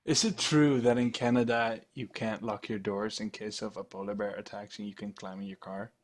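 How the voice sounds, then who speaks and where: A man's voice: on the low side, steady tone, normal speed. A man from the Netherlands with a Dutch accent, teasing and joking in a casual group voice call. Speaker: stream host.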